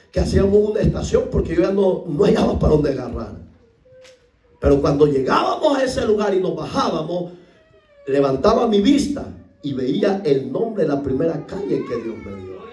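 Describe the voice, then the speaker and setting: A middle-aged man from El Salvador with a Salvadoran accent, preaching a sermon as a pastor and acting out the voices in his stories, rising to shouts. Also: sits high, sing-song, moderate pace, recorded from a distance. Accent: Salvadoran Spanish. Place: church hall. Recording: echoey room, voice from off the mic.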